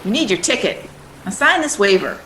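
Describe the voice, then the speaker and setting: A woman playing a brisk, blunt, no-nonsense tour boat hostess in a radio play. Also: mid-range voice, very animated, fairly bright tone, reverberant, slight background noise, low-quality recording. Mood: brisk, businesslike.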